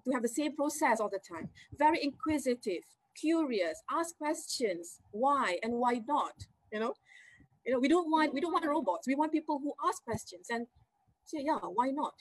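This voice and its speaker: A Malaysian woman, Malay as her first language, speaks as an executive guest in a brisk, emphatic way, ticking off lists and tossing in "right?" tags. Her voice sits a touch high, and the sound is close and dry. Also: no echo, rough recording. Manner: energetic, emphatic, lists points with rising tags. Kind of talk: interview answers.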